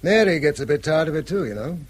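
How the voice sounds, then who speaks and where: A man's voice: fairly high pitch, lively intonation, fast, slight background noise, natural voice. An actor playing a weary, guarded middle-aged father in a radio drama, with an English accent and a terse, reserved manner.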